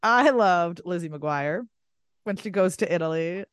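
A woman co-hosting a podcast, chatting casually, quick to laugh and chiming in with agreement. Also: lowish voice, expressive, smooth, no room sound, studio quality. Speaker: podcast co-host. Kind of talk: casual chat.

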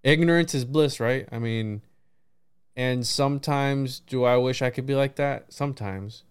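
Male podcast host, clear resonant voice, loose and rambling conversational delivery, very dry room sound. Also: mid-range voice, measured pace, no echo. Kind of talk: casual conversational interview.

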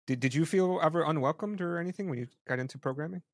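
A man's voice: on the high side, fast, dry recording. Curious male host, dry close sound. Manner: casual and curious, restarts often. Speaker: interview host. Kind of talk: interview conversation.

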